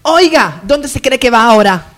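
A woman's voice: sits high, animated, moderate pace, soft background music. A woman playing a haughty, scheming lady of the hacienda, imperious and melodramatic, in a parody radio soap.

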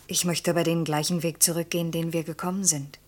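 An actress in a German radio play, playing a shy, lovelorn young woman who is quietly insistent, half whispering in short, hushed replies. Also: deep voice, level tone, fast talker. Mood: quietly resolved.

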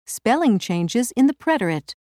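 A woman narrates a scripted language lesson at a slow pace. Her pitch moves in a lively way and her enunciation is clear and instructional, with a dry studio sound. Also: no echo. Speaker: language course narrator giving English titles and translations.

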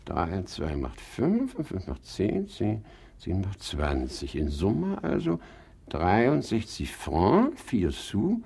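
A creaky, fussy old-style miserly hypochondriac. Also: male voice, sits low, wildly swooping pitch, slow, a little mellow, full character voice. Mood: fussy muttering. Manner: theatrical muttering, wailing and scolding. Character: fussy, miserly male hypochondriac, querulous and self-pitying. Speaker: actor. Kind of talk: German radio play comedy acting.

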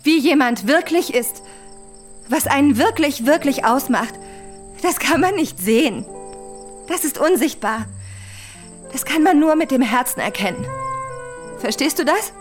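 An actress in a radio drama playing a fragile, lonely young film star with a breathy, halting, pleading way of speaking. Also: female voice, high-pitched, lively intonation, soft background music. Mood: earnest, tender.

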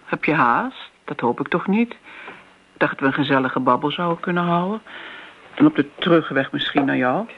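A woman's voice: low voice, expressive, normal speed. An actress playing an eager-to-please, forgetful older woman who talks effusively and hesitantly in a radio play. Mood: flustered. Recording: dull, noisy old radio play recording.

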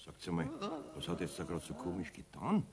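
A man playing a nosy, dutiful Bavarian card player in a radio play, excitable and insistent. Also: low voice, fairly quick. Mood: curious, insistent. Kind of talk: 1970s radio play acting.

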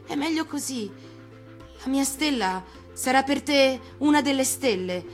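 A woman voicing a tender, wistful little boy in a storybook, earnest and halting, often repeating words. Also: high-pitched, animated, soft background music, pitched above the performer's own voice. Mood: earnest, eager. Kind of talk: dramatic storybook reading.

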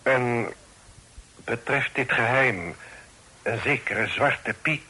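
An actor playing a grave middle-aged father and magistrate in a radio play, with a husky voice and solemn, measured, formal delivery. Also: medium pitch, pushed and bright, heavily acted, low-quality recording. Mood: grave, probing. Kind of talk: Dutch radio play acting.

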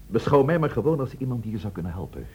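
Husky, probing police inspector. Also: male voice, medium pitch, very animated, fast. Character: probing bachelor police inspector, blunt but courteous. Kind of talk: radio play acting.

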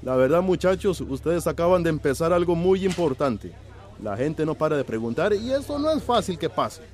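An actor playing a modest, generous village shopkeeper in a radio drama. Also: male voice, on the high side, very animated, moderate pace, darkish tone. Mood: approving.

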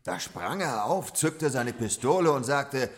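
An actor playing a shady Victorian opium-den owner in a German radio drama, deferential and self-justifying as a witness. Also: male voice, medium pitch, lively intonation, unhurried, lightly acted.

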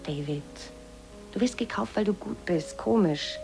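A woman's voice: medium pitch, measured pace, vocal fry, over music, low-quality recording. A woman acting a sensible, sceptical media professional in a German radio drama, reasoning in a direct way. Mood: exasperated, reassuring. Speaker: actor.

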